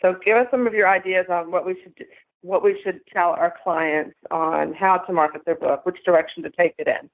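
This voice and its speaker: A woman's voice: fairly low pitch, fairly even intonation, fairly quick. A woman co-hosting a podcast in casual chat, hesitant and full of fillers as she asks questions. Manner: hesitant, many "um" and "you know". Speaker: podcast co-host. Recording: tinny phone line.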